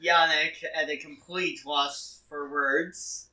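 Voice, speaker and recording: Bright-voiced, wry male tabletop player. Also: on the high side, steady tone, takes it slowly. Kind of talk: narrating and voicing own character in role-play. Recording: slightly distant mic.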